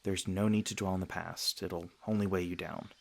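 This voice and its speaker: Deep-voiced, gentle male vampire, acted by a man. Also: steady tone, normal speed, vocal fry. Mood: gentle, reassuring. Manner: hesitant, soft-hearted, earnest, with stammers. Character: gentle, self-conscious male vampire, century old but youthful, sweetly flustered. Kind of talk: intimate ASMR roleplay addressed to listener.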